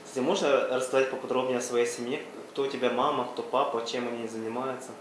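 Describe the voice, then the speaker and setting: Deep-voiced lady interviewer, talks fast, brisk questions, roomy echo and a bit of noise. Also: steady tone. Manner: brisk questions, often opening with "by the way". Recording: echoey room with faint background noise.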